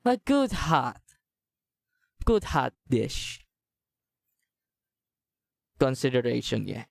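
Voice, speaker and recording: Slow, low-voiced woman streaming games. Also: very animated, creaky, dry recording, clean studio sound. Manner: hesitant, thinking aloud, repeating words. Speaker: game livestreamer. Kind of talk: casual live commentary over gameplay.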